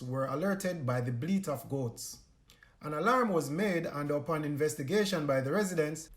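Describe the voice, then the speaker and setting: Male Jamaican reporter, somewhat high voice, scripted news narration.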